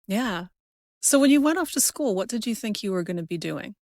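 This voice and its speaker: A woman's voice: medium pitch, lively intonation, a little fast, darkish tone, dry recording, clean studio sound. A woman hosting a podcast interview in a casual, chatty style.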